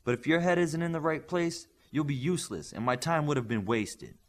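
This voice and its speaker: Man playing a superhero coach, stern, blunt, commanding, wide swings in pitch, audiobook dialogue. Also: lively intonation, fairly quick, close to an everyday voice. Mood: intense, challenging. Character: stern, demanding male superhero coach lecturing his young trainees.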